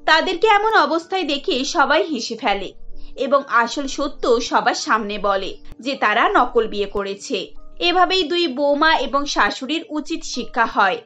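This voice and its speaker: A woman narrates a cartoon story in a steady, scripted way. Her voice sits high. Soft music plays underneath. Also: normal speed, highs cut off.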